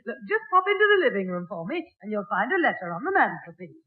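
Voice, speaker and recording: A woman playing a brisk, knowing mother with a pointed, mock-innocent manner in a 1960s radio sitcom, on a tinny old recording. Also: medium pitch, very animated, lightly acted. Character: brisk, knowing mother catching out her son.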